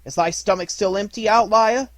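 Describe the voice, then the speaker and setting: A man reading aloud, voicing a fierce young male jungle boy raised by wolves, who shouts battle cries. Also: on the high side, lively intonation, normal speed, dry recording, voice pushed up.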